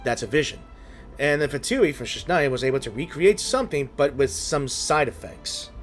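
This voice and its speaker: Animated male gamer giving casual commentary. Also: mid-range voice, normal speed. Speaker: gaming video host. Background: faint game music.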